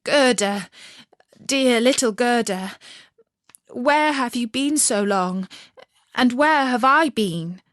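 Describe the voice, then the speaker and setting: A woman's voice: on the high side, expressive, slow, long pauses, a bit bright, no echo, clean studio sound, voice pushed up. A woman voicing a bewildered young boy in a storybook, exclaiming in wonder. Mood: bewildered relief. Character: young boy Kay, bewildered and newly awakened.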